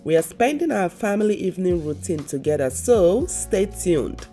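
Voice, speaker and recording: A woman's voice: lowish voice, very animated, normal speed. Congested woman vlogger with a head cold. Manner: chatty, keeps calling viewers "guys", rambling with fillers. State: congested, stuffy nose from a severe cold. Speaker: lifestyle vlogger. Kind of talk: casual chatty voice-over to viewers. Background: faint background music.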